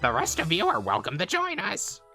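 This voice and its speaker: A woman's voice: on the low side, wildly swooping pitch, moderate pace, vocal fry, pushed and bright, soft background music, heavily acted. A woman voicing a sardonic, squawky robot bird, a prickly crew member in a comic dub. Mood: alarmed, dismissive.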